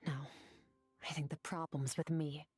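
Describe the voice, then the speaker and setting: A woman voicing a stoic, self-critical young swordswoman in an anime video game, soft and breathy, speaking in terse, measured lines. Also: sits low, little pitch movement. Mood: dissatisfied. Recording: game music behind the voice.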